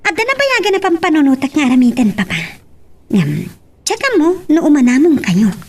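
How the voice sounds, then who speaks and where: A very high, swooping female voice with a Filipino accent. An actress plays a suspicious, jealous young wife in a melodramatic radio-style love drama, pressing her doubts with lively swings of pitch. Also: normal speed. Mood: suspicious, pleading.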